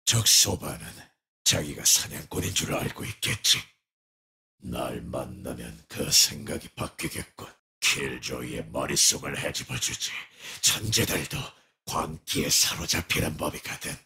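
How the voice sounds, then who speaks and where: An actor playing a menacing, tormented shadow wraith in a video game, with a gravelly, breathy rasp and grave, terse delivery. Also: male voice, a bass voice, measured pace, bright, forceful tone, exaggerated voice. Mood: brooding, ominous. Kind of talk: video game character voice lines.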